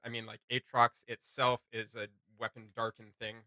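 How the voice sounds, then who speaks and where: Bright, punchy-voiced man reacting casually. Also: medium pitch, little pitch movement, normal speed, no room sound. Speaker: reaction video host.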